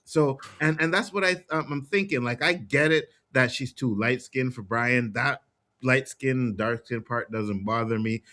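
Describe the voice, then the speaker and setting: A man's voice: mid-range voice, a little slow, bright, forceful tone. A man co-hosting a casual chat show, talkative and emphatic, often laughing.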